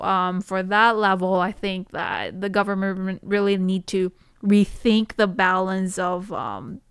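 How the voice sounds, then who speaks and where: Clear-voiced woman educator. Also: medium pitch, no echo, studio quality. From Taiwan. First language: Mandarin Chinese. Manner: hesitant, halting, many fillers. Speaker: educator, podcast guest. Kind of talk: casual podcast discussion.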